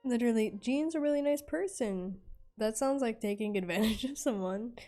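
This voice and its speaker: A woman's voice: on the high side, normal speed, dark tone. A young woman giving casual, slangy, offhand commentary while streaming a game. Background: faint game music.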